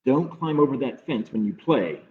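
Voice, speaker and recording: A man voicing a protective, gently firm mother in a storybook, with plain cautioning delivery. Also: mid-range voice, lively intonation, moderate pace, close to an everyday voice. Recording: muffled phone-like streaming audio.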